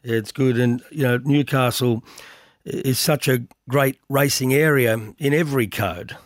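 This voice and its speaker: An Australian man with a husky voice, hosting a racing radio show, talking with lots of hesitant fillers. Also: mid-range voice, a little slow, no echo, clean studio sound. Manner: hesitant, many filler words. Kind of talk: radio interview and news roundup. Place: radio studio.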